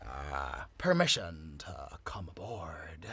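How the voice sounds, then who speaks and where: An actor playing an uneasy reformed pirate, dryly pessimistic and hesitant, in an audio adventure. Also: male voice, extremely low pitch, very animated, takes it slowly, bright, forceful tone, slightly rough, no room sound, exaggerated voice. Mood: sheepish. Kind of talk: cinematic audio adventure acting.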